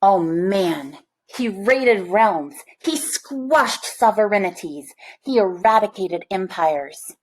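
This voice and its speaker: A woman reading a picture book aloud to children, with expressive, deliberate phrasing. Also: mid-range voice, poor audio. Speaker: storybook reader. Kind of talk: read-aloud storytelling for children.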